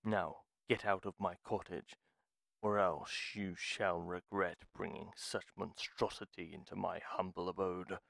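A man playing a gruff, wary retired pharmacist in a fantasy audio roleplay, speaking in a formal, deliberate, theatrical way. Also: sits low, flat delivery, fairly bright tone, dry recording, exaggerated voice. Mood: irritated, reluctant. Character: gruff, reluctant retired male pharmacist, courteous but wary. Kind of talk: dramatic audio roleplay monologue.

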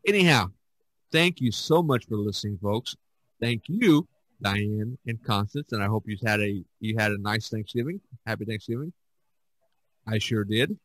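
A man's voice: on the low side, lively intonation, fairly bright tone, no room sound, rough recording. Rambling male podcast host, dry close sound. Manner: rambling, halting, repeats words. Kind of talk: casual chat.

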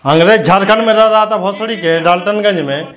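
A man's voice: high voice, a little fast, fairly bright tone. A man delivers a combative political rant to camera on a lapel mic, scornful, abusive and confrontational. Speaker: news commentator.

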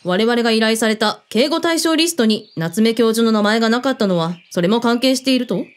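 An actress plays a shrewd female ex-agent with a fairly high, very animated voice. She sounds skeptical and probing, using terse, mannish phrasing in radio drama style. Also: brisk, clear, resonant tone, close to an everyday voice. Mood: serious, probing. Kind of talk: Japanese radio drama acting.

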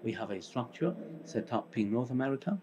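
A middle-aged man with a Portuguese accent presents from a conference stage in short, halting phrases. He has a mid-range voice. The audience can be clearly heard in the hall around him. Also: mid-range voice, animated, crowd noise. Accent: Portuguese with Spanish mixed in. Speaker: company co-founder presenting.

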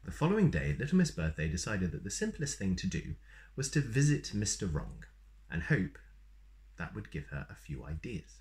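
Expressive man with a very low voice. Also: moderate pace. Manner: expressive, playful. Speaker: storyteller reading a children's picture book. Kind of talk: animated read-aloud with asides to young listeners. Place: small plain room indoors.

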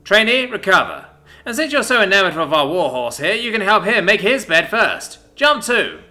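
A man's voice: high-pitched, animated, fast, bright, forceful tone, faint music behind, pitched above the performer's own voice, full character voice. A man voicing a strict male Air Force drill sergeant who barks orders in a clipped, commanding way.

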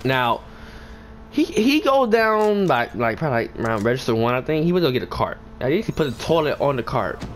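Young man, middle-pitched voice, casual gaming storytime, slangy and profane, rambling, noisy ambient background. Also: very animated, a little fast. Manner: profane, slangy, rambling, emphatic when indignant. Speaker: gaming video creator telling a story. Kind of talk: casual storytime over gameplay. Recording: clear background noise and ambience.

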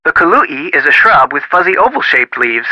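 Breezy young American detective, higher-pitched. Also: male voice, sing-song, normal speed, pushed and bright, dry recording, muffled recording, heavily acted. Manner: casual, folksy, chatty. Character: friendly, breezy young male amateur detective. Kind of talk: video game dialogue.

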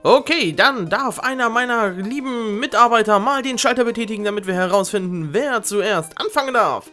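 A man's voice: high voice, moderate pace, sharp tone, soft background music. A man hosting a game show with a playful, joking, theatrical and exclamatory delivery.

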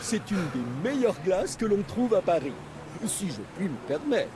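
A man's voice: high voice, very animated, normal speed, background noise. An actor voicing a cheerful male rabbit ice-cream vendor in a children's cartoon game, sing-song and welcoming. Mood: cheerful, inviting.

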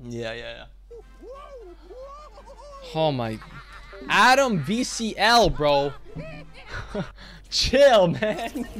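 A man's voice: fairly high pitch, big pitch swings, drawn-out words, long pauses, a bit bright, soft background music. A young man streaming from his home gaming room, chatting casually, laughing often and thanking donors. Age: young adult. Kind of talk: casual chat while gaming.

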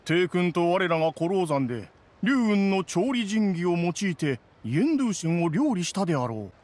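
Dignified, grumbling mountain immortal. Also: male voice, high voice, big pitch swings, darkish tone. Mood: recounting. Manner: formal, archaic, measured, reproving. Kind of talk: anime game voice acting.

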